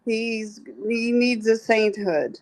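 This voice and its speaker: A woman guest on a podcast, talking in a slow, halting way with many restarts. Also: a little high. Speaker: guest, pest control safety director. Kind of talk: casual podcast chat.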